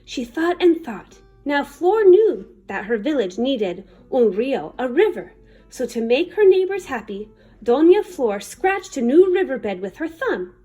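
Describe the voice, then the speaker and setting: A woman reading a children's picture book aloud in an expressive, dramatic manner. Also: high-pitched, measured pace, husky, soft background music. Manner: animated, dramatic. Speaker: children's story reader.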